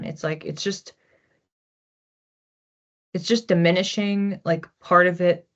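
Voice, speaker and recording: Low-voiced woman musing through a reading. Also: fairly bright tone. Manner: hesitant, repeats words while searching for images. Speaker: psychic reader. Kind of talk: intuitive reading, musing aloud. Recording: dull lo-fi recording.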